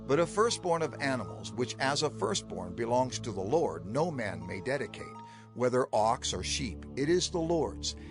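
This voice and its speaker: A stern, authoritative lawgiver delivers dramatized scripture over prominent music. His mid-pitched voice swings widely while staying formal, measured and emphatic. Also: sing-song.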